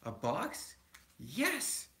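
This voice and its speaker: A man's voice: on the high side, wildly swooping pitch, very slow, creaky, sharp tone, a little hiss or hum, heavily acted. Man reading as emphatic storybook papa bear, echoey. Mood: inspired, encouraging. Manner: emphatic, explaining. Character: stern but reasonable father bear, handy problem solver.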